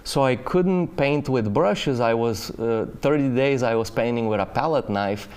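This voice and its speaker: A man's voice: mid-range voice, measured pace, a little mellow, smooth. A middle-aged man from Bulgaria, a painter, telling his story in a casual interview, with many fillers and restarts. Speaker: painter, studio guest.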